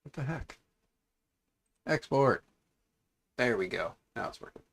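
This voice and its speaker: A man hosting a casual livestream, congested and raspier than usual, rambling and repeating himself as he talks to his chat. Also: medium pitch, animated, unhurried. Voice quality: raspy, congested. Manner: mild swearing, offhand. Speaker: livestream host.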